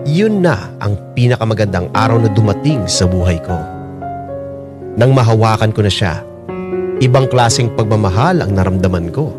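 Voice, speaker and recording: Expressive Filipino father narrating over music, male actor. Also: mid-range voice, close to an everyday voice. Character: heartfelt young father narrating his own story. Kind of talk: Filipino radio drama narration. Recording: instrumental music clearly behind the voice.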